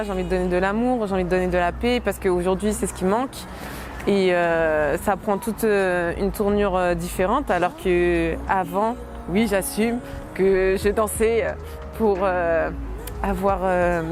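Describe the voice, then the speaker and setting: A woman in her late twenties, a dancer, talking casually and hesitantly in an interview, with many fillers and soft laughs. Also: medium pitch. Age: late 20s.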